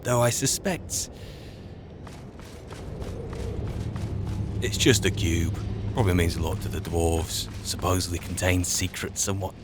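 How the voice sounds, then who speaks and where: A man roleplaying a musing, wry adventurer in a fantasy game, speaking in a halting, dry, reflective way. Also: deep voice, noisy background, natural voice. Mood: resigned, wry. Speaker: player narrating a roleplay. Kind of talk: in-character game roleplay monologue.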